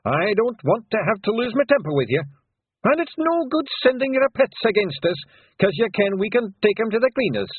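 A man doing a loud, brash little Scottish fairy warrior. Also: high voice, sing-song, normal speed, husky, no room sound, phone-quality sound, voice pushed up, heavily acted. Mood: defiant. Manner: shouting, brash, defiant.

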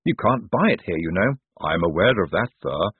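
A mad inventor's loyal henchman from a fantasy audiobook, acted out by a male narrator. He is smug and obsequious, weighing his words with deliberate care. The sound is narrow and phone-like. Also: deep voice, animated, breathy edge, no echo.

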